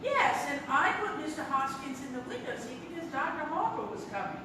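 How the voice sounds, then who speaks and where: A woman's voice: high voice, big pitch swings, a little fast. A woman playing a sweet, unflappable elderly aunt in a live stage comedy, genteel, fussy and matter-of-fact. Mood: calm, matter-of-fact. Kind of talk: stage comedy radio-theatre acting. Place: live theatre, distant microphone. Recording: roomy, noisy stage recording from far off.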